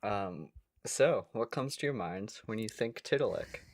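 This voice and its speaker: A man's voice: lowish voice, lively intonation, a little slow. A young man co-hosting a podcast in casual comedic chat, jokey and self-deprecating, sometimes reading questions aloud. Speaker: podcast co-host.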